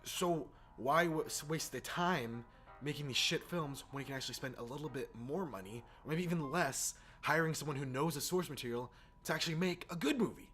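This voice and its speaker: A man's voice: fairly high pitch, fairly quick, fairly bright tone. A man in a casual, exasperated rant as a gaming video host, informal and sweary. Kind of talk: casual opinionated rant to camera or mic. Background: background music.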